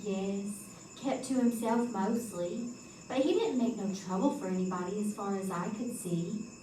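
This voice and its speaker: A woman's voice: medium pitch, a little slow, warm tone, close to an everyday voice. A woman playing a plain-spoken small-town landlady in old-time radio drama style, with folksy, rustic phrasing. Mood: folksy, solemn. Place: live stage performance. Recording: roomy live stage, distant mic, faint noise.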